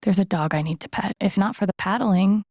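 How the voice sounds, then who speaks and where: Video game lead: actress as wry young woman, empathetic amateur sleuth, casual inner monologue, creaky voice, very dry close recording. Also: medium pitch, brisk, a little mellow, no echo, natural voice. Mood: wry, musing. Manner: casual, muttering to herself, dry humor.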